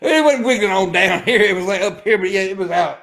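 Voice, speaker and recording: A man with a raspy voice and an American accent, bantering casually and profanely as a livestream host. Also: high voice, lively intonation, a little fast, fairly bright tone.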